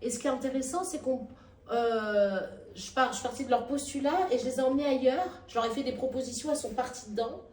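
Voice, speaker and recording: A woman being interviewed, with frequent restarts and hesitations that give way to emphatic, lively stretches. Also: high-pitched, quick pace. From Nigeria and Madagascar. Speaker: actress and workshop founder, interviewee. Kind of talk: spontaneous interview talk. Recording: echoey room, heard from a distance.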